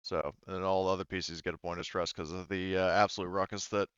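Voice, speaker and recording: A man's voice: low voice, level tone, fast, a bit bright, clear, resonant tone, no room sound, muffled recording. A man casually narrating a tabletop game as game master, rambling and thinking aloud as he goes.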